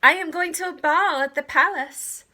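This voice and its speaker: A woman voicing a sweet, hopeful, put-upon young heroine in a children's storybook, earnest and expressive. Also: extremely high pitch, normal speed, voice pushed up, heavily acted. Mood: thrilled.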